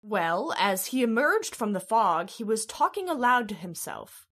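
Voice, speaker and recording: Earnest, very animated young woman played by actress. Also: medium pitch, unhurried. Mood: earnest testimony. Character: young daughter witness to horror, earnest, fearful. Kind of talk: old-time radio horror acting.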